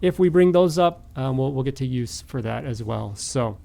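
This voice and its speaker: A man narrating as game master of a tabletop game, improvising with halting, hesitant phrasing. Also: mid-range voice, expressive, creaky, warm tone.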